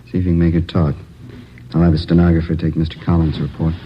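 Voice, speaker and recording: An actor playing a brisk, commanding police captain in a 1950s radio drama. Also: male voice, deep voice, flat delivery, lightly acted. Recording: dull old radio broadcast with noise.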